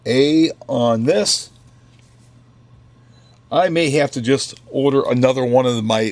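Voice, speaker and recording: A man's voice: medium pitch, darkish tone. A man running a comic shop, talking casually through the week's new comics with offhand joking asides. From United States. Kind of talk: casual product run-through. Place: comic book store.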